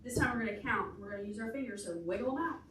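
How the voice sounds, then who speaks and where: A woman's voice: on the high side, very fast. A woman leading a preschool storytime, encouraging small children with praise and simple instructions. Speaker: children's storytime leader at a public library. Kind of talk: preschool storytime teaching, alphabet and counting songs. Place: indoor room at a library. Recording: echoey room, distant mic, clear background noise.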